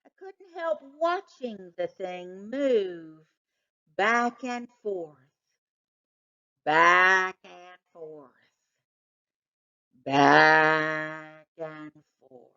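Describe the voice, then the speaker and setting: A woman voicing an excitable, suspicious male cat from a children's storybook, telling his tale with dramatic suspense. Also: fairly low pitch, drawn-out words, gravelly, pauses often, clean tone, dull audio, voice pushed down, full character voice. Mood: suspenseful.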